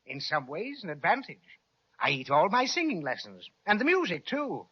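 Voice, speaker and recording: An actor playing a vain, jolly crocodile with grandiose, flowery, drawn-out speech, in the style of an old children's radio serial. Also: male voice, high voice, huge pitch swings, slightly rough, exaggerated voice. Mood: boastful. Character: vain, jolly, theatrical crocodile who fancies himself a singer. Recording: dull, lo-fi old radio recording.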